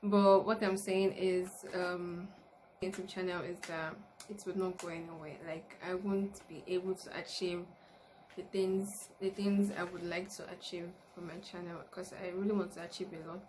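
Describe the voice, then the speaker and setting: A woman's voice: lowish voice, little pitch movement, moderate pace. Casting: woman, early twenties, Nigerian accent, informal YouTuber chat, home recording with audible background noise. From Lagos, Nigeria. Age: early 20s. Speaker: YouTuber, university student. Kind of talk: casual chat to camera, question and answer. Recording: noticeable background noise, room ambience.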